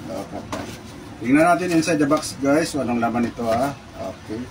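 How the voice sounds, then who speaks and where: Casual Filipino male vlogger, mid pitch, halting product-unboxing delivery, recorded at a distance with noticeable noise. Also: lively intonation. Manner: halting, repeats words, addresses viewers often. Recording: distant mic, clearly noisy background with birds.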